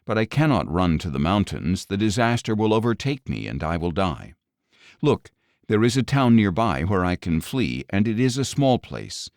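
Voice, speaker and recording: Guy doing a worried old dad in a bible story, deep voice, pleading earnestly. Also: big pitch swings, normal speed, darkish tone, no echo, clean studio sound. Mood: anxious pleading. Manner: earnest, imploring. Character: anxious, pleading older man, father sheltering his guests.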